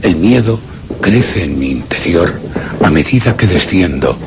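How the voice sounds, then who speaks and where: Low-voiced, haunted gentleman narrator. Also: very noisy. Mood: fearful, tense. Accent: Castilian Spanish. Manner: grave, suspenseful, theatrical. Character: haunted, obsessive gentleman narrator, master of a servant. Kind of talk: dramatic first-person horror radio play narration. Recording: dramatic music behind the voice.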